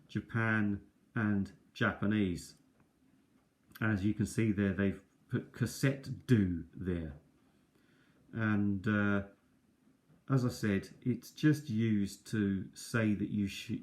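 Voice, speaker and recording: A man's voice: fairly low pitch, darkish tone. A man hosting an unscripted, casual show-and-tell review, speaking in a hesitant, rambling way and often correcting himself. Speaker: host of a cassette collection review video. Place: kitchen table, indoors. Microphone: tablet built-in microphone.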